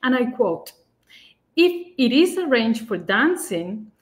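A woman's voice: on the high side, sing-song. Woman reading a lecture over video call. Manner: careful, measured delivery with occasional restarts. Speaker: fortepianist presenter. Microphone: webcam on a video call.

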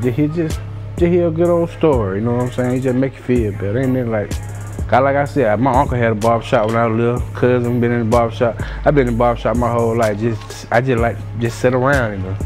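Male, folksy casual talker, rapid pace, mid-pitched. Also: fairly even intonation, darkish tone. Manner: folksy, repeats catchphrases. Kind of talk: casual interview chat. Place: indoors. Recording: faint music behind.

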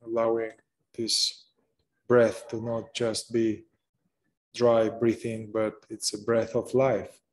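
A man leading a guided meditation, speaking gently in short phrases with long pauses between them. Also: lowish voice, completely flat delivery, low-quality recording. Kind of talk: slow guided meditation narration.

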